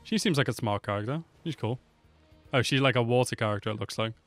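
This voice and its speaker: A man's voice: mid-range voice, fast. Casual young man reacting to videos. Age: 20s. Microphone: close desk microphone. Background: game trailer music.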